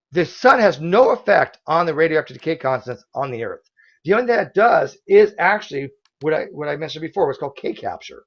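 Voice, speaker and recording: A hoarse man with a somewhat high voice argues back fast, stumbling over restarts as he corrects his opponent. The sound is dull and lo-fi. He hosts a heated online debate. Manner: forceful, quick rebuttals with restarts. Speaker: debate host.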